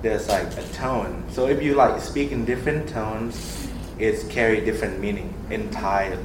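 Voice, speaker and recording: A young man from Thailand with a Thai accent, whose first language is Thai, sharing personal experiences in a group discussion in an earnest, animated way with lots of fillers. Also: on the low side, moderate pace, noisy background. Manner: earnest, animated, laughs often, many fillers. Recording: roomy echo with clear background ambience.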